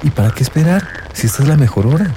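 A man's voice: a little high, lively intonation, fast, over music. A man acting an earnest, friendly, inquisitive character in a radio drama. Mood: reproachful. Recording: noisy outdoor ambience, rain.

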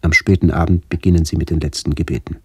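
An actor playing a reflective middle-aged man narrating a literary radio play in a measured, contemplative way. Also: a bass voice, level tone, noisy background. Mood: pensive. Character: reflective man visiting his ageing family.

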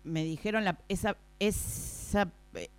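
A woman's voice: mid-range voice, a little slow, clean tone, natural voice. A woman with an Argentine accent playing a naive, pushy, flustered new office clerk who repeats herself and stammers, in a radio play. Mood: puzzled, evasive. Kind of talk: improvised radio play acting.

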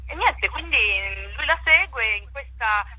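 A woman talking off the cuff as an interview guest, expressive, thinking aloud and repeating words for emphasis. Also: high voice, unhurried, no echo. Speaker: theatre actress and director interviewed about her play. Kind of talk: unscripted radio interview chat.

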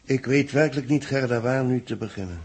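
An actor playing a grave, formal newspaper columnist dictating a report in a 1960s radio drama, with a husky voice. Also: male voice, medium pitch, a little hiss or hum, natural voice. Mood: grave, pensive. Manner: formal, measured, solemn phrasing.

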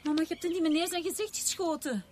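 A woman's voice: very high-pitched, fairly even intonation, fast talker, darkish tone. A woman voicing an innocent small Flemish boy in a radio drama. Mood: startled, innocent. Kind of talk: naturalistic radio drama acting. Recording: noisy outdoor scene ambience.

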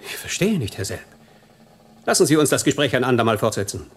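An actor playing an evasive, self-important computer-centre employee, guarded and nervous, in a radio crime drama. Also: male voice, medium pitch, very animated, fairly quick, husky.

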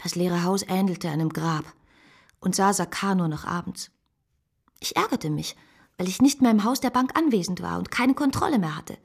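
A woman's voice: lowish voice, moderate pace, gravelly, slightly rough. An actress playing a reflective, devoted but uneasy woman of 1860s Paris, narrating intimately in a historical radio drama. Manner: introspective, intimate first-person narrating.

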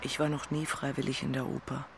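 A woman's voice: very deep voice, little pitch movement, a little fast, slight background noise. An actress playing Senta, an impulsive, bookish single city woman, teasing and blunt, in a literary audio drama. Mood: curious.

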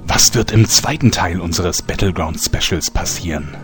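A man's voice: deep voice, normal speed, loud music behind the voice, lightly acted. A man playing a pompous announcer of a parody soap serial audio drama. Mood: portentous. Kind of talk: parody cliffhanger narration.

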